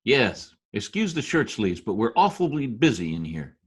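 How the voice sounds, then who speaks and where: Radio drama schemer male actor: glib, cynical politico, rough husky voice, lively intonation. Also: medium pitch, moderate pace. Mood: brisk, glib. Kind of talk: old-time radio drama acting. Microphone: video call.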